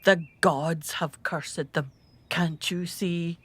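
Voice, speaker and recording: A woman's voice: on the low side, steady tone, takes it slowly, slightly rough, no room sound. A woman playing a bitter, vengeful character in a radio drama. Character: bitter, vengeful woman cursing an enemy family.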